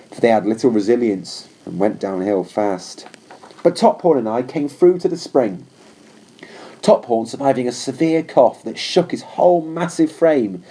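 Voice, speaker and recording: Guy reading as a loyal old-time army horse telling its story, mellow voice, measured and earnest. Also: medium pitch, lightly acted. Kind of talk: scripted storybook narration.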